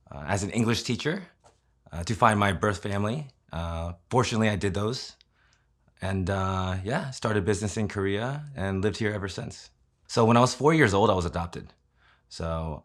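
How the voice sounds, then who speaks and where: A man, telling his own story in an interview in a conversational way, often using fillers and tag questions. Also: low voice. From Kansas City, Missouri, USA.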